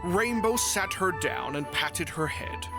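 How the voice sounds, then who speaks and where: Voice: medium pitch, expressive, slow, creaky. A narrator reading a scripted fan fiction story aloud. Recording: music behind the voice.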